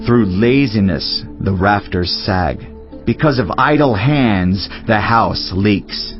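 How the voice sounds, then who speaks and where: A solemn old-world sage of scripture declaims in a measured, reflective way. The sound is slightly dull and lo-fi, with music playing underneath. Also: male voice, on the low side, expressive. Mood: solemn, admonishing. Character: solemn male Teacher, wise biblical sage reflecting on life. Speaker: scripture reader. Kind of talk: dramatized scripture reading. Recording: dull lo-fi audio over music.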